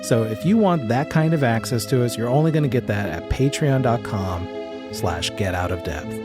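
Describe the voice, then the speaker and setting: A man's voice: mid-range voice, lively intonation, moderate pace, over loud music. A man hosting and narrating a horror tabletop game as game master, with a suspenseful storytelling delivery. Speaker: game master and show host.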